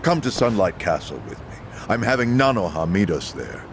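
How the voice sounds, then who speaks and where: A businesslike manager from a video game, with a deep voice that moves widely in pitch. He is formal, polite and firm, over rumbling traffic noise. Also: very animated, moderate pace. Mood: firm, urgent. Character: businesslike male care home manager, formally apologetic.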